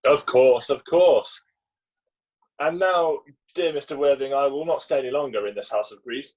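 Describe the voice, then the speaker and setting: Casting: kindly Victorian parson played by a man, husky, solemn and formal, on dull video-call audio. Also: mid-range voice, moderate pace, darkish tone, lightly acted. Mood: solemn, obliging. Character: kindly, pompous Victorian country clergyman. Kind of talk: amateur play read-through.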